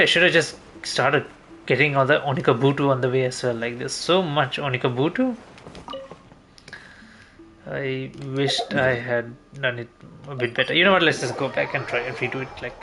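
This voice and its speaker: Male game streamer, bright tone, casual rambling think-aloud commentary with mild swearing, faint background noise. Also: mid-range voice. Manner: rambling, self-talking, mildly sweary.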